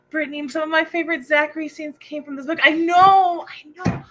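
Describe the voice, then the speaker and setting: A woman hosting a casual book livestream, excitable and chatty as she reacts to viewer comments. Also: very high-pitched, normal speed, background noise. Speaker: book discussion livestream host.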